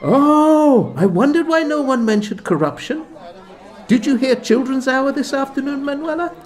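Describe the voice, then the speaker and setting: An actor playing an earnest, excitable village man and narrator in a scripted comedy radio play, with a theatrical manner. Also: extremely high pitch, normal speed, some chatter behind. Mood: earnest, bemused.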